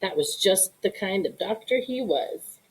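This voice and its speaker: A woman narrates a children's story aloud. Her mid-pitched voice moves in an animated, expressive way, in the manner of a storyteller. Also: unhurried, low-quality recording. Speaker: storybook reader.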